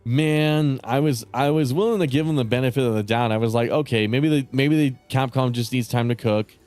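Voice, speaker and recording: A man chatting casually with viewers on a live stream, rambling and swearing with lots of fillers, his voice starting to go raspy. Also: mid-range voice, brisk, darkish tone, faint music behind. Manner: rambling, profane, many fillers and restarts. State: voice getting raspy.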